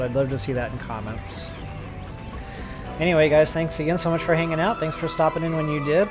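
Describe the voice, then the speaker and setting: A man talking casually to his viewers as a game livestreamer, chatty and informal. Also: on the high side. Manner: chatty, addresses viewers as guys and y'all. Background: game music.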